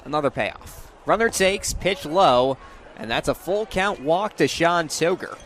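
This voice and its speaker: Higher-voiced young male play-by-play announcer. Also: animated, measured pace, fairly bright tone, slight background noise. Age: young adult. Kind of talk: live sports play-by-play commentary.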